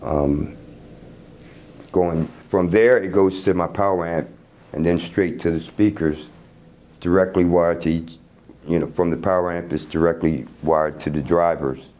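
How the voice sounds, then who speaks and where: A middle-aged man casually explaining his product in an interview, halting and repeating words as he goes. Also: low-pitched, steady tone, a little mellow. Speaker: loudspeaker creator being interviewed. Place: hotel room at an audio show. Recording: noisy room ambience.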